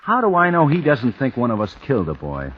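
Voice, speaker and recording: A man's voice: medium pitch, wildly swooping pitch, a little fast. An actor playing a skeptical married man who talks in a dry, doubtful way, in 1940s radio drama style. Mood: wary. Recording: muffled old radio broadcast with hiss.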